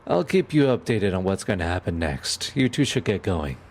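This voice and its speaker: Man playing animated police detective, noisy track. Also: low voice, moderate pace. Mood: courteous, businesslike. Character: courteous, businesslike male police detective. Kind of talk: audio roleplay acting.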